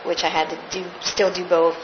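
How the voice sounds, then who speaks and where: A woman's voice: on the low side, level tone, a little fast, lo-fi sound, background noise. A woman, a stage actress, recounting her theater career in a casual interview, laughing now and then.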